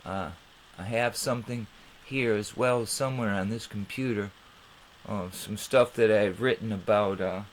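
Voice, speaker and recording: A man hosting an informal explanatory monologue, with halting, rambling phrasing. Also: lowish voice, slight background noise. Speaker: channel host.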